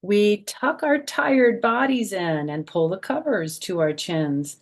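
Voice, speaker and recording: A woman hosting a children's storytime, reading a picture book aloud and adding chatty, simple explanations for young listeners, with a husky, creaky voice. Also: medium pitch, animated, takes it slowly.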